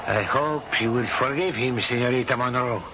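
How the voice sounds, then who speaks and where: A man's voice: mid-range voice, normal speed, natural voice. An actor playing a proud, unbending older nobleman in a radio drama, speaking formally and with authority. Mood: courtly. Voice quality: formal, commanding delivery. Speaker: stern aristocratic father. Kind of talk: 1970s radio drama acting. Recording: dull old broadcast with hiss.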